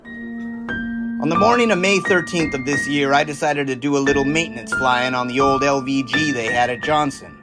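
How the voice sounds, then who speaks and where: An actor playing a cheerful, eager American test pilot of the 1920s in an audio drama, with a folksy, teasing manner. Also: male voice, mid-range voice, normal speed, loud music behind the voice, close to an everyday voice. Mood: reflective, proud. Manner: folksy, jaunty, enthusiastic. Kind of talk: period audio drama acting.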